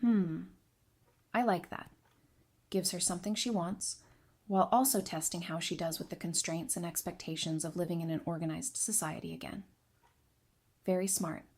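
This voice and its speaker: A woman's voice: lowish voice, steady tone, moderate pace, pauses often, darkish tone. A woman playing a perceptive, candid advisor in an audio drama, talking in a thoughtful, frank way. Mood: dry, approving. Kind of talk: fan audio drama dialogue.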